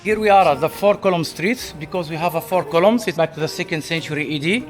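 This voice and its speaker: A man's voice: on the high side, quick pace, soft background music. A man working as a tour guide outdoors, explaining sights and pointing things out. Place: outdoors in old town.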